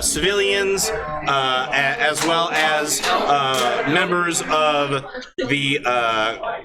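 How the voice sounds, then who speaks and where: A man running a tabletop game as game master, improvising scene descriptions haltingly with frequent drawn-out uhs. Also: medium pitch. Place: live show at a gaming convention. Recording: live convention stage, loud crowd.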